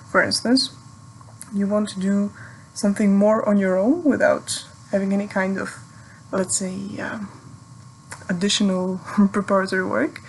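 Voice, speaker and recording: Serbian woman with a gravelly edge. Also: medium pitch, slight background noise, rough recording. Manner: friendly, thoughtful, with light laughs. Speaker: PhD student giving advice. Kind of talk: recorded video message.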